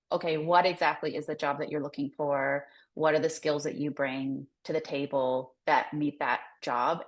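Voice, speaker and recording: American woman, low voice, career coach chatting as a guest, explains things kinda loosely. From United States. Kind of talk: conversational interview answers.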